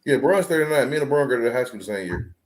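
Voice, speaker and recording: A man's voice: mid-range voice, lively intonation, very fast, a loud crowd. A man in his late thirties co-hosting a podcast, ribbing his friends and steering the casual chat to the next topic. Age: 30s. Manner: playful, ribbing, steers the talk. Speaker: podcast co-host.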